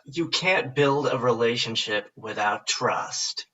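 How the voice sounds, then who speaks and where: A man's voice: medium pitch, takes it slowly, pushed and bright, husky, exaggerated voice. An actor at a table read playing a cocky, lovesick, dim-witted young farm boy with a folksy drawl. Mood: flustered. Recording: muffled, distant mic.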